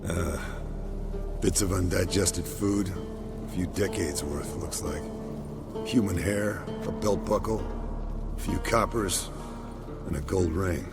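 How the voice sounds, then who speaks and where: An actor playing a seasoned, methodical monster hunter in a fantasy game, measured and dryly humorous. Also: male voice, low-pitched. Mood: clinical, wry. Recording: music behind the voice.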